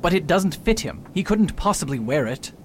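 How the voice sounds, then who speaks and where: Self-important scholar-doctor male actor for a radio whodunit, higher-than-average voice, baffled and disbelieving. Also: very animated, normal speed. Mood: incredulous. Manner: pompous, incredulous. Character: pompous, baffled learned doctor, coldly overconfident sleuth. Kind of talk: radio mystery acting. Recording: faint rumbling background noise.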